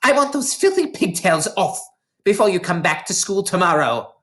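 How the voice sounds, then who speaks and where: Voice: mid-range voice, very animated, moderate pace, a bit bright, voice pushed up. A reader voicing a huge, menacing, contemptuous headmistress who barks and bellows orders in a children's storybook. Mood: furious, commanding. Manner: barking, bellowing commands.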